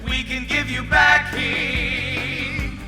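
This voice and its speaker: Man playing a high-pitched, smooth-selling musical tycoon, echoey stage. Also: flat delivery, very slow, loud music behind the voice, full character voice. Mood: eager, persuasive. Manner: smooth, persuasive salesman. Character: confident, wealthy, persuasive male industrialist wooing an inventor. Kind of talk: sung and spoken musical theatre.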